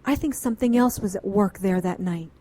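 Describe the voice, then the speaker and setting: Woman doing a witch telling her backstory in a radio play, calm but defensive, medium pitch. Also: a little fast, natural voice. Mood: composed, defensive. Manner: frank, self-justifying. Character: composed, defensive adult woman witch recounting her past. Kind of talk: audio drama acting, narrating.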